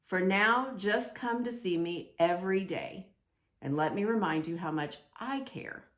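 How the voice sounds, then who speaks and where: A woman voicing a kindly, big bearded old woodcarver in a children's storybook, gentle, slow and thoughtful. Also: mid-range voice, roomy sound, close to an everyday voice. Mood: encouraging. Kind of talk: children's storybook read-aloud.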